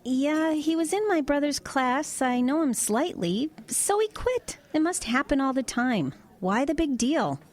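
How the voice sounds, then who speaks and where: A woman's voice: sits high, lively intonation, unhurried, hardly any pauses. An actress playing a blunt, nosy small-town woman in an audio drama, with a pressing, plain-spoken manner. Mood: incredulous, probing. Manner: pressing, plain-spoken, exasperated folksy banter.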